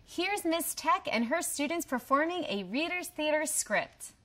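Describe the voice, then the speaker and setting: Woman, high voice, scripted promo narration, presenter. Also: animated, a little slow, sharp tone. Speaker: host presenter.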